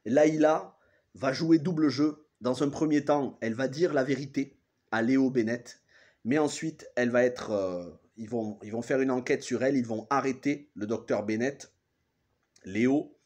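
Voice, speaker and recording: A man in his thirties speaks with the congested sound of a cold, his pitch sitting in an ordinary middle range. He recaps a TV episode casually and haltingly, pausing and correcting names as he goes. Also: a little mellow. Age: 30s. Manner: hesitant, restarts and corrects himself often. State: has a cold. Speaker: TV series reviewer.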